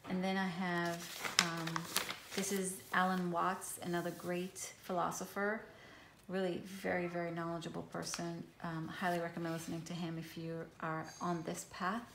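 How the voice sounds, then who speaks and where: Hebrew-native woman, low register, easygoing rambling show-and-tell, roomy echo. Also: moderate pace. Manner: relaxed, rambling, frequent ums and restarts. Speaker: craft vlogger. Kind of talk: casual show-and-tell of a handmade journal. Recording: echoey room with faint hiss.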